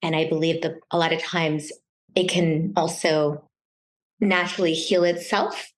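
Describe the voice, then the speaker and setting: A middle-aged woman born in Taiwan, talking casually as an interview guest, often pausing and restarting her sentences. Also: low-pitched, fairly even intonation, a little hiss or hum. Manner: hesitant, many restarts and fillers.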